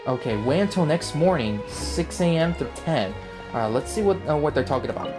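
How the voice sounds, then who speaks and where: Animated young man streaming over game music. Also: a little high, brisk. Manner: slangy, repetitive, playful. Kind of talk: casual let's play commentary.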